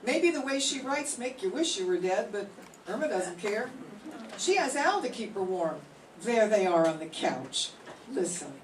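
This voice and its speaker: A wisecracking, practical roommate, voiced by an older woman, full of dry asides in a lively, bouncing voice. The voice sounds distant in an echoey classroom with faint hiss. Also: medium pitch, unhurried. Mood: sardonic. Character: wisecracking practical roommate who also narrates. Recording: roomy classroom, far from mic, faint hiss.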